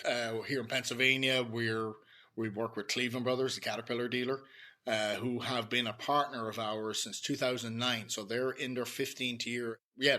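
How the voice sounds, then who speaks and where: A man's voice: medium pitch, bright, forceful tone. A middle-aged man, a sales director, chatting easily as a guest on a podcast. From Ireland. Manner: easygoing, chatty, detailed explanations. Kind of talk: casual podcast interview.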